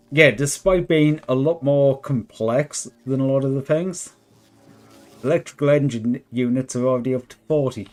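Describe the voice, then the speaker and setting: A man streaming a game live with a worn, hoarse voice, thinking out loud and chatting with viewers. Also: medium pitch, normal speed. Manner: thinks aloud, chats with viewers. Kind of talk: relaxed live gameplay commentary. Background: faint background music.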